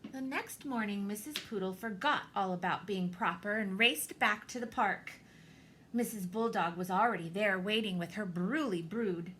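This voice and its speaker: A woman reading a children's picture book aloud, expressively, with theatrical pauses and deliberate emphasis. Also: medium pitch, fairly bright tone, a little hiss or hum. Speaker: storybook reader, teacher.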